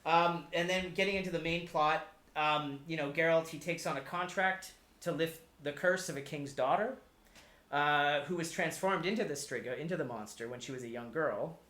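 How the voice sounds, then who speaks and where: A man chatting casually on a podcast as a guest, explaining things in a halting, rambling way with repeated words. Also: on the high side, pushed and bright. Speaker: podcast guest pitching a film adaptation. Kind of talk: casual chat. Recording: echoey room.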